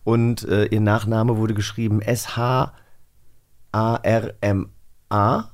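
A man's voice: fairly low pitch, little pitch movement, slow pace. A man, asking questions and chatting casually as a talk co-host. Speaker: interviewer, co-host and actor. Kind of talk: casual interview chat.

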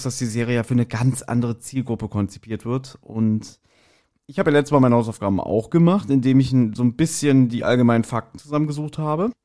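A man's voice: mid-range voice, darkish tone. A man co-hosting a podcast in speech, chatting casually with a jokey, rambling manner. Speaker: podcast co-host.

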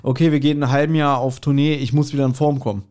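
A man's voice: mid-range voice, fairly even intonation, racing, darkish tone. A man, a podcast co-host telling anecdotes in casual chat, amused and acting out short quotes.

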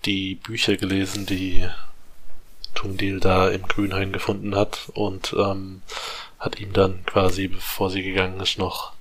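A man recaps a fantasy novel chapter for a casual podcast, stopping often to restart his thought. His voice sits low and stays fairly flat, with little rise or fall. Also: unhurried. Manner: rambling recap with many fillers and restarts. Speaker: podcast co-host summarizing a book.

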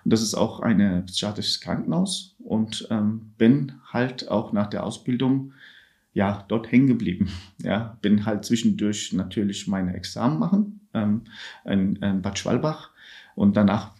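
Halting middle-aged man, lower voice. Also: a little mellow. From Germany. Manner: hesitant, many filler sounds, halting restarts. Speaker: ward manager on an addiction ward. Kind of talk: podcast interview answers.

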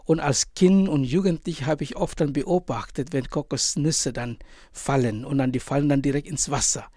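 A man in his forties from Indonesia, a priest and author, answering interview questions in German with an Indonesian accent, pausing often and searching for words. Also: a little high, mellow, dry recording, studio quality. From Indonesia, island of Sumba. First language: Indonesian language. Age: 40s. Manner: halting, many pauses and fillers, searching for words.

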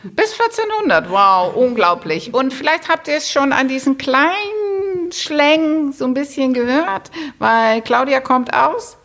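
A woman's voice: high-pitched, lively intonation, a little slow, a bit bright. A woman hosting an interview podcast in a new studio, talking in a chatty, enthusiastic way. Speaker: podcast host.

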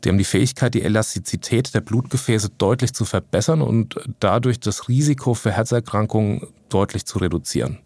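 Man, nutrition scientist guest, lowish voice, dry close sound, explaining with many fillers. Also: brisk, few pauses, dry recording. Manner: steady explaining with frequent "äh" fillers and self-corrections. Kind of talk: explanatory podcast conversation.

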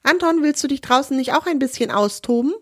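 A hoarse woman voicing a patient mother in a children's story. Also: high-pitched, brisk, no echo, clean studio sound, pitched above the performer's own voice, natural voice. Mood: coaxing. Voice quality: hoarse from a cold. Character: patient mother, gently scolding.

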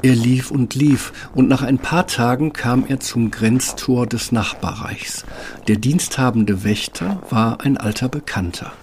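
A man's voice: medium pitch, a little slow, a little mellow, slight background noise. A man narrating a scripted fairy tale as the storyteller of an audio play. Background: instrumental music.

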